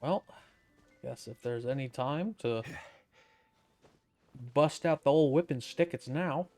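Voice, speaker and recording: A man's voice: medium pitch, slow pace, darkish tone, faint music behind. A man giving casual gameplay commentary as a streamer, with wry, offhand, muttered asides. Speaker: streamer playing a game.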